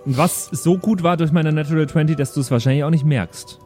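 A man's voice: fairly high pitch, a little fast, a little mellow, faint music behind. A man chatting casually as a player in an improvised tabletop role-playing game.

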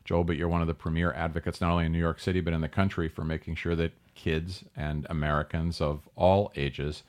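Deep-voiced man hosting an interview. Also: fairly quick. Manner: relaxed, with fillers and restarts. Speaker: talk show host. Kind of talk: conversational interview.

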